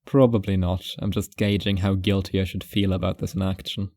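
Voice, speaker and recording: A man playing a reclusive, paranoid surveillance watcher who narrates his own story in a wry, deliberate, musing way. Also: low-pitched, little pitch movement, a little mellow, no echo. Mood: casual, fond. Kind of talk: first-person fiction narration.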